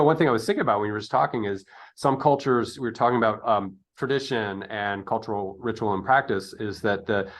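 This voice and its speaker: Male podcast interviewer, lower-pitched voice, wordy and philosophical, thinking aloud in halting phrases. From United States. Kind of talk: casual reflective conversation.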